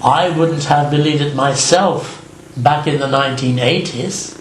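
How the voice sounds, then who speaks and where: An older man from Belgium, narrating his travel vlog in a slow, measured, reflective voice-over. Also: a little high, echoey room, slight background noise, low-quality recording. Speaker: vlogger.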